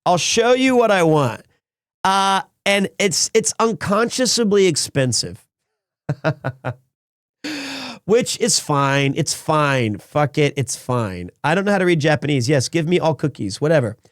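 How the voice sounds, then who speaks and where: Slightly raspy man, high voice. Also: takes it slowly, no echo, studio quality. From Wales. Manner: rambling, restarts often, excitable. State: slightly hoarse after being sick. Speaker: streamer. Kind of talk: casual live-stream chat.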